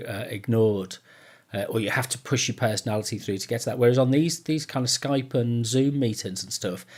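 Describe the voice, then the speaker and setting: A man's voice: mid-range voice, a little mellow. Jokey, rambling British man. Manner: jokey, chuckling often. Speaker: podcast host. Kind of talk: casual chat. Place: remote online call.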